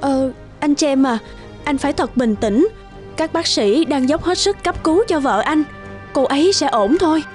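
A woman's voice: high voice, animated, moderate pace, darkish tone, faint music behind. A woman playing a concerned, earnest hospital medic in a dubbed cartoon drama. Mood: grave, calming.

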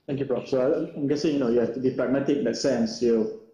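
A man's voice: mid-range voice, fairly even intonation, fast, mellow. A man moderating an online forum and reading out audience questions, hesitant and full of fillers. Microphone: video call. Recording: dull, roomy video call audio.

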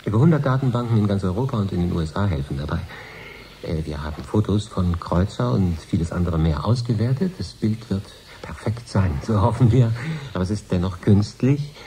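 A man's voice: sits low, expressive, fairly quick, mellow, a little hiss or hum. An actor playing a sober, factual professor explaining his experiment in a radio drama. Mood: matter-of-fact. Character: sober, matter-of-fact male professor leading an experiment. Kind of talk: 1980s German radio drama acting as interview.

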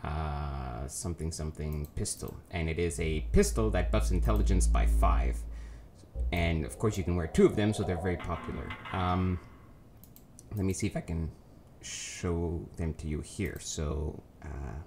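A man's voice: deep voice, a little slow, a little mellow, slight background noise. A man with a cold and a nasal voice, giving rambling, casual commentary over his gameplay as a let's play host, with lots of ums and self-corrections.

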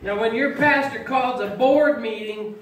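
High-voiced man telling jokes. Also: measured pace, a little hiss or hum. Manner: folksy storytelling, gets loud and emphatic at punchlines. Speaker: stand-up comedian. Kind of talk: stand-up comedy at a congregation event. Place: small fellowship hall with audience. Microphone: far camera, no mic. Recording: echoey hall recorded from far off with audience laughter.

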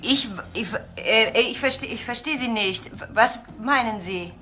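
A woman's voice: on the high side, a little slow, pushed and bright, faint music behind. An actress playing an anxious but resolute, protective woman in a crime radio drama. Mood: nervous, startled.

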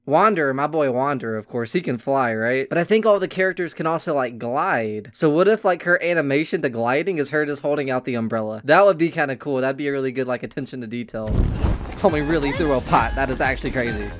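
A man's voice: mid-range voice, expressive, quick pace, a bit bright, no echo. A man, doing casual, slangy reaction commentary and often repeating himself. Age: 20s. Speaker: reaction video host. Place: small room indoors. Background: faint trailer music.

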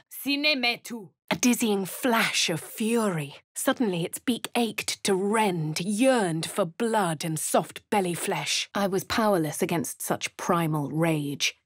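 A posh English heroine. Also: female voice, lowish voice, lively intonation, measured pace, husky, no room sound, studio quality. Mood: brooding. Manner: cycles between quiet inner narration, hushed whispers and shouted spell incantations. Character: posh young female adventurer narrating her thoughts. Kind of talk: game voice lines.

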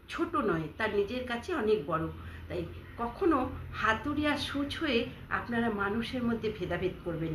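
A woman telling a simple story to listeners and ending with a moral. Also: a little high. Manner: warm address to listeners, moralizing close. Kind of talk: children's story narration with a moral. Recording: echoey room with faint noise.

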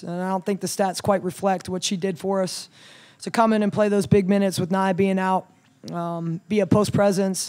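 A woman in her thirties, a head coach, talking through a game at a postgame press conference in a measured way, with many ums and restarts, into a table mic. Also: medium pitch, darkish tone. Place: press room in front of a logo backdrop. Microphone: table mic on a stand.

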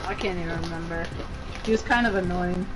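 A woman's voice: fairly low pitch, fairly quick, low-quality recording. A woman giving easygoing, offhand replies while gaming with friends. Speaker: friend in party chat. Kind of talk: casual gaming chat. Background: game music.

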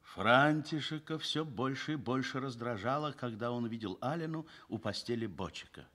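A man narrating a Soviet radio play in an expressive, literary storytelling manner. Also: mid-range voice, normal speed.

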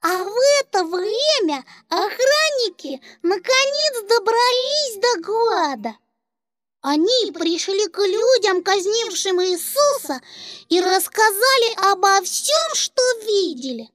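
A woman voicing a gentle childlike angel puppet who tells Bible stories to children in a deliberate, expressive, instructive way. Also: extremely high pitch, full character voice. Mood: earnest storytelling. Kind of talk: children's storytelling.

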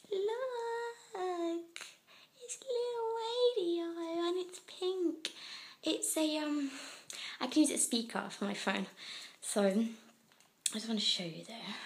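A young woman from the UK with a croaky voice, rambling through a casual video diary with lots of fillers. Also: sits high, slight background noise. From United Kingdom. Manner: rambling, chatty, many fillers and trailing asides. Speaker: vlogger. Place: indoors, abroad in Italy. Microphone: handheld phone camera.

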